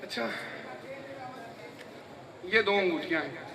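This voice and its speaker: A man delivering a religious sermon to a gathering, asking rhetorical questions, repeating phrases and rising to shouts. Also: sits high, slow pace, vocal fry. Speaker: majlis speaker. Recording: echoey, distant mic, crowd behind.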